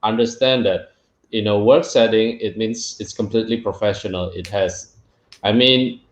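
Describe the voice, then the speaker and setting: A man's voice: lowish voice, steady tone, normal speed. A man who grew up in Singapore, hosting a casual interview, talking in a thoughtful way and getting emphatic when he jokes. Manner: thoughtful, reflective, grows emphatic when joking. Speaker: podcast host. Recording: echoey, dull lo-fi room sound.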